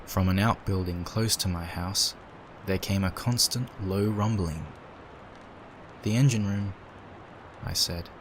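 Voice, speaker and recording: A man's voice: low voice, unhurried, pauses often. A man reading a scripted story as narrator, in the manner of a dramatic audiobook. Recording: steady ambient noise behind.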